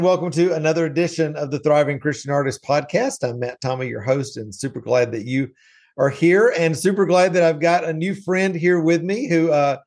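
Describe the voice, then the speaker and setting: A man's voice: on the high side, darkish tone. A man hosting a podcast, upbeat and friendly, leading the interview with his guest. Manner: upbeat, friendly, uses fillers. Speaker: podcast host.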